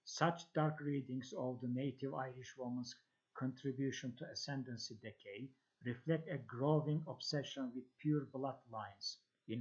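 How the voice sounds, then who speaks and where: A man reading a scholarly text aloud as a lecture, halting and restarting on long words. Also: medium pitch. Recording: dull lo-fi audio.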